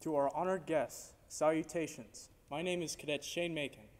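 Teen cadet from Oregon, slightly high voice. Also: male voice, moderate pace. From Oregon, USA. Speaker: military academy cadet. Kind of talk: formal memorized speech. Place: dark auditorium stage. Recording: echoey hall, distant mic.

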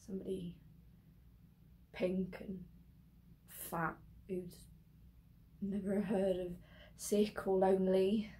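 A despairing grown daughter played by a woman speaks in a stage monologue, slowly and haltingly. Her voice is mellow and subdued as she explains herself to her mother. There is some noise behind her in the recording. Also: mid-range voice, level tone, close to an everyday voice. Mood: wistful, tender. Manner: hesitant, halting, pausing between phrases.

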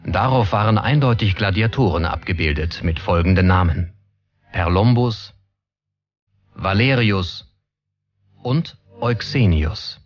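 A man's voice: low-pitched, lively intonation, a little slow, long pauses, highs cut off. A man reading scripted documentary narration as the narrator. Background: prominent background music.

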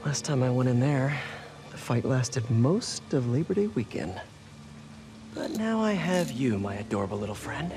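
A man's voice: medium pitch, very animated, moderate pace. An actor playing a quippy, earnest young male superhero in a video game, talking in a wry, joking inner monologue over a game score. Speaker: game hero.

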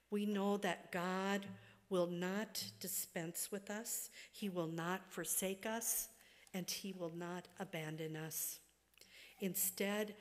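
A middle-aged or older woman preaching a sermon from a church pulpit, phrase by deliberate phrase, letting sentence ends trail away. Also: mid-range voice. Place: church sanctuary, at a wooden pulpit. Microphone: gooseneck pulpit microphone. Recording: long reverberant church hall.

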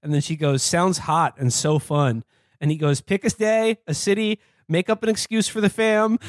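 A man's voice: high voice, steady tone, normal speed, dry recording, studio quality. A man hosting a podcast, talking casually and comedically as he reads material aloud. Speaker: podcast host.

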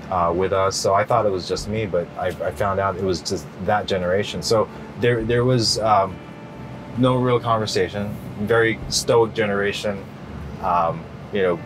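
A middle-aged man answering interview questions in a relaxed, rambling way, full of fillers and restarts. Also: sits low, noisy background. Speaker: interview guest. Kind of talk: casual interview.

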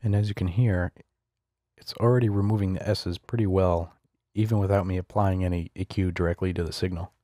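A man narrating a screencast software tutorial in a casual, explanatory way, close to a studio microphone. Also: low voice, fairly even intonation, brisk, dry recording. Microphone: close studio voice-over microphone.

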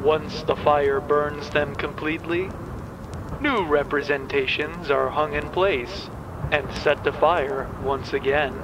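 A man playing a grave, ominous dystopian narrator in scripted audio theatre, solemn and measured. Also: fairly high pitch, fairly even intonation, noisy background.

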